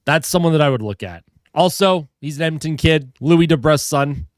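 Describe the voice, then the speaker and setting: A man's voice: a little high, expressive, normal speed, dry recording, clean studio sound. Stuffy-nosed young man chatting with stream viewers. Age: young adult. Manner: chatty, reads and greets viewer comments. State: stuffed up from a head cold; a little tired. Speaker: hockey streamer. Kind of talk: casual live stream chat.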